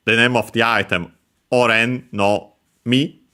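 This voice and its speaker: A man explaining Japanese grammar, pausing often with filler sounds as he works through each word. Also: medium pitch, fairly even intonation, sharp tone. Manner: hesitant, many filler sounds, careful explanation. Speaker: Japanese language teacher. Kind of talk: explaining grammar over gameplay.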